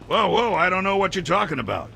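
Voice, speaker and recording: An actor playing an evasive, colloquial cantina local in a video game. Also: male voice, sits high, fast, creaky, slight background noise, exaggerated voice. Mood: defensive. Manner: animated, colloquial. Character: flustered, evasive male cantina local telling tales.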